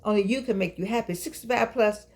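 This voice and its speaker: A woman's voice: medium pitch, quick pace, a little mellow. An older woman hosting her own show, talking in a playful, preachy, coaxing way and drawing out phrases for effect. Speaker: host. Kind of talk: casual talk show monologue. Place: home studio with keyboard.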